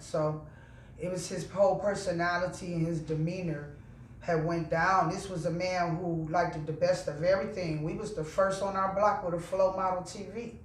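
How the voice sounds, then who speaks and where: A woman calmly recounting childhood memories in a steady, measured testimony. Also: lowish voice, a little hiss or hum. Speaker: interviewee. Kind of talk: personal testimony. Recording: echoey room, mic at a distance.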